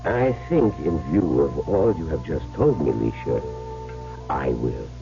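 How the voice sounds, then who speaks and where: An actor playing a wealthy, commanding occult enthusiast in a theatrical, formal 1970s radio drama style. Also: male voice, rumbling low, sing-song, gravelly, heavily acted. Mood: suave, possessive. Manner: theatrical, formal, deliberate phrasing. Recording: dull old radio broadcast with music.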